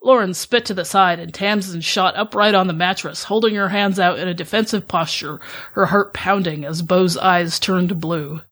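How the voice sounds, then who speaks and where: A woman's voice: on the low side, normal speed, fairly bright tone. A woman reading scripted fan fiction narration as a podcast host. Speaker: podcast host, author reading own story.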